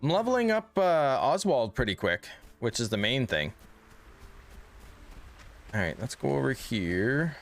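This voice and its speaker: A man's voice: mid-range voice, very animated, unhurried, long pauses. A man streaming a video game and chatting casually with viewers while losing his voice. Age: adult. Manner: chatty, reads chat aloud.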